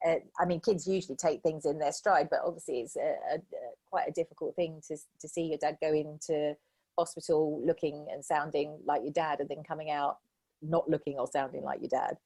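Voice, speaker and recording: A woman's voice: sits low, fairly even intonation, brisk, mellow, husky. A woman hosting a friendly interview, asking questions in an encouraging, enthusiastic way. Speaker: podcast host. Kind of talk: casual interview chat.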